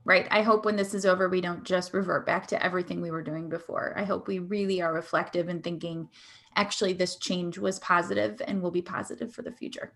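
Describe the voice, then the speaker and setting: A woman's voice: on the low side, flat delivery, fairly quick, a bit bright. A woman co-hosting an educational podcast, talking in an earnest, conversational way and often checking in with "right?". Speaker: podcast co-host. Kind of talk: casual podcast chat.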